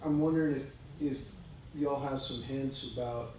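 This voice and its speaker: Man as discussion participant, mid pitch, halting and reflective, heard far off in a very echoey, noisy room. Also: steady tone, mellow. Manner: halting, searching for words. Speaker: group member commenting. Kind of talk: reflective spoken comment in a group discussion.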